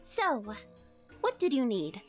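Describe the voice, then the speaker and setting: A woman voicing a bossy, unfazed little-girl scientist in an audio drama, teasing and brisk. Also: sits high, wildly swooping pitch, soft background music, heavily acted. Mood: businesslike. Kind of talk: fan audio drama acting.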